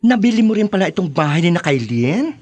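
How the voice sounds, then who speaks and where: An actress in a Philippine radio drama playing a chummy, gossipy young woman with a husky voice. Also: on the low side, big pitch swings, normal speed, a little mellow. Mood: gossipy, teasing. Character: gossipy, chummy young female friend, eager informant.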